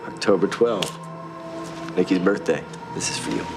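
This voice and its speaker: A laconic, enigmatic thriller character with a deep, creaky voice. The sound is echoey, under loud film music. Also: male voice, moderate pace. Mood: cryptic, cool. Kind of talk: film acting.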